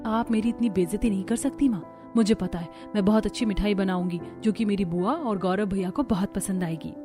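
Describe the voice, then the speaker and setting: A woman's voice: mid-range voice, fairly quick, over music, lightly acted. A woman voicing a devoted, outspoken young sister in a family cartoon, earnest and emphatic. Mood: proud.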